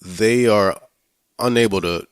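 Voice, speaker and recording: A man hosting a casual film podcast, talking in a halting way, searching for words and swearing freely. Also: on the low side, smooth, no room sound, studio quality. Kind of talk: casual movie review chat.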